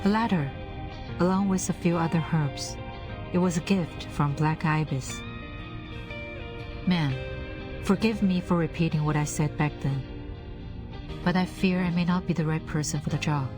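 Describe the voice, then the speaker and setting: A woman's voice: lowish voice, fairly even intonation, normal speed, pauses often, natural voice. An actress playing a modest, dutiful female subordinate in a video game, speaking in a careful, deferential, formal way. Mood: respectful, hesitant. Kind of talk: dramatic video game voice acting. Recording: music under the voice. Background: background music.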